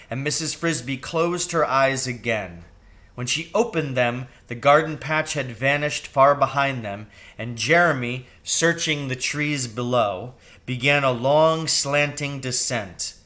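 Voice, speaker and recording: A man reading a children's novel aloud as expressive narration, with measured and deliberate phrasing. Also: mid-range voice. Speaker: storybook reader.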